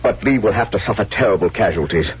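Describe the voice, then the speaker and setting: A man's voice: lowish voice, expressive, fast, breathy edge. A man acting a proud, blunt army colonel in a 1970s radio drama, speaking formally and dutifully. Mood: urgent. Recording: muffled vintage radio broadcast.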